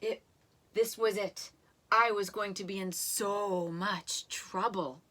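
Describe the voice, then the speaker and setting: A woman reading a children's story aloud in an expressive, animated way, with dramatic emphasis and drawn-out words. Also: medium pitch. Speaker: storybook reader.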